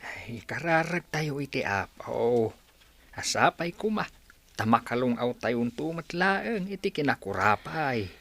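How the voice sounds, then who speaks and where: A man's voice: mid-range voice, expressive, unhurried, a bit bright. An actor playing a doting, folksy old grandfather in an Ilocano-accented radio drama. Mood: tender, sighing. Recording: noisy ambience behind voice.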